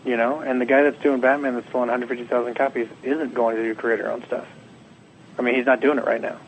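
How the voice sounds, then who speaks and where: A young adult man, a comics writer being interviewed, slightly hoarse, talking in a rambling, animated way and acting out quotes. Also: medium pitch, fast talker, a little hiss or hum, rough recording. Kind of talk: casual interview.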